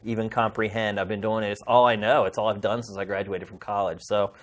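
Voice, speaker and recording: A man's voice: fairly low pitch, little pitch movement, quick pace. A middle-aged man speaking as a podcast host, addressing viewers in a casual, heartfelt and emphatic way.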